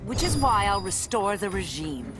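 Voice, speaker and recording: A woman's voice: medium pitch, big pitch swings, moderate pace, lightly acted. An actress playing a stern, righteous warrior woman with a firm, threatening self-assurance. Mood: stern, defiant. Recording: music behind the voice.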